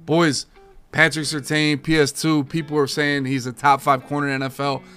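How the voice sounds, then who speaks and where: A man in his thirties, a gaming streamer talking casually and energetically to his viewers, his voice a bit hoarse from overuse. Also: fairly high pitch, moderate pace, music in the background. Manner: energetic, slangy, addresses viewers as boys and guys. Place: home game room with framed pictures.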